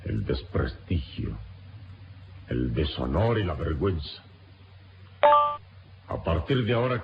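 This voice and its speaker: An actor playing a noble, serene wronged hero in an old-time radio serial, speaking with solemn, formal, theatrical dignity. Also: male voice, extremely low pitch, exaggerated voice. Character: noble, serene male hero, honorable wrongly jailed adventurer. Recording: muffled lo-fi radio recording with noise.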